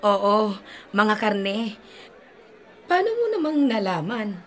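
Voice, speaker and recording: A woman's voice: medium pitch, big pitch swings, slow, fairly bright tone, some chatter behind. A middle-aged woman playing a desperate mother who hides her undead daughter, speaking in a tremulous, anxious, often whispered voice in a radio drama. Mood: flustered.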